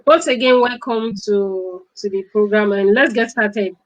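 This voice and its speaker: A woman's voice: mid-range voice, a little slow. A woman hosting an interview, chatting casually and often affirming her guest. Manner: encouraging, frequent affirmations. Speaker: interview host. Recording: dull, lo-fi sound.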